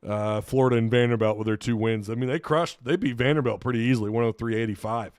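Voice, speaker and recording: A man's voice: mid-range voice, steady tone, quick pace, darkish tone, clean tone, no room sound, clean studio sound. A man hosting a casual solo sports recap, tired and losing his voice, rambling with frequent restarts. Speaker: sports podcast host.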